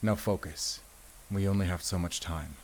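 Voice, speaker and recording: Man acting grim, low-voiced werewolf hunter. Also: darkish tone, a little hiss or hum, natural voice. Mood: urgent, commanding. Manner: grave, deliberate, commanding. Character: grim, battle-weary werewolf hunter, protective and solemn. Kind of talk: cinematic audio roleplay, speaking to the listener.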